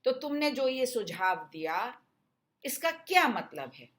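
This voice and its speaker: A woman's voice: on the high side, big pitch swings, slow pace, fairly bright tone, full character voice. A woman voicing a sly male jackal in a children's story, pleading and argumentative with a show of lonely sorrow. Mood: smoothly argumentative.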